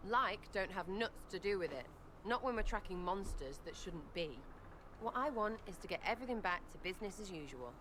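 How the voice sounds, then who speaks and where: Cheeky British rogue played by an actress, echoey, noisy. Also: female voice, mid-range voice, animated, a little fast, lightly acted. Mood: defiant, uneasy. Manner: cheeky, slangy, choppy phrasing. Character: irreverent young female archer rogue, blunt and skeptical. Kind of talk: video game character dialogue.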